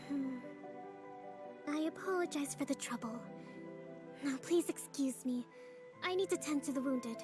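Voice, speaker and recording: A woman voicing a calm, composed young female island leader and strategist in a game cutscene, over game music. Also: high voice, a little slow, pauses often. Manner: gentle, composed, thoughtful. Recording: game audio with loud music.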